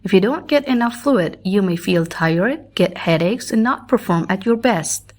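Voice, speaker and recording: A woman's voice: medium pitch, animated, moderate pace. A woman reading formal passages aloud as scripted narration. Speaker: pronunciation practice narrator.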